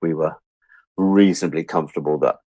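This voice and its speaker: A middle-aged British man chatting casually as an interview guest, telling stories in an animated, self-deprecating, joking way. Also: a bass voice, brisk, no echo. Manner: animated storyteller who acts out remembered exchanges. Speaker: interview guest, audio drama producer and director. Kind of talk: casual anecdotal interview talk.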